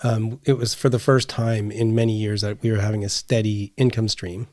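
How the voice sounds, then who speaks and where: A man's voice: lowish voice, little pitch movement, normal speed, darkish tone, dry recording, clean studio sound. A middle-aged man chatting casually as a podcast co-host. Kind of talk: casual conversational chat.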